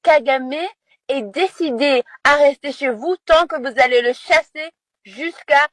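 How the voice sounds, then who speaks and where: A woman's voice: high-pitched, a little slow, a bit bright, dry recording. A woman from Rwanda, speaking as an interview guest in an impassioned, insistent way, often repeating words as she searches for them.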